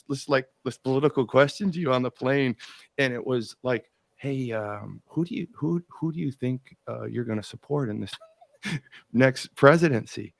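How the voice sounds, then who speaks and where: A man's voice: medium pitch, creaky, dry recording. Rambling, enthusiastic male podcast host. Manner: enthusiastic, rambling, many restarts. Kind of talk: interview host, casual chat.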